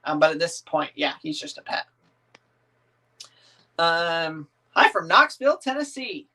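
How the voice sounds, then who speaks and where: A man in his thirties with a slightly raised pitch chats loosely with his viewers, reading their comments aloud and answering them one by one. He rambles, restarts sentences and leans on lots of "um"s, keeping everything relaxed and informal. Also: unhurried, vocal fry. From northern United States. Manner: rambling, easygoing, many "um"s and restarts. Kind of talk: casual livestream chat.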